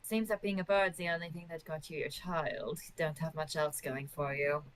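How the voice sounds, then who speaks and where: A woman's voice: low-pitched, steady tone, normal speed, a little hiss or hum, natural voice. A woman voicing a cheeky adventurer with a blunt, teasing manner in a tabletop game. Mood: mock-doubtful, teasing. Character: Fina, cheeky needling adventurer.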